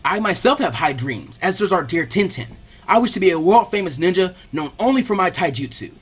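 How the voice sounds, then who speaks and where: A storyteller voicing an earnest, over-excitable teenage boy martial artist who speaks in formal, emphatic declarations. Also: mid-range voice, fairly quick, slightly rough. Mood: proud, exuberant.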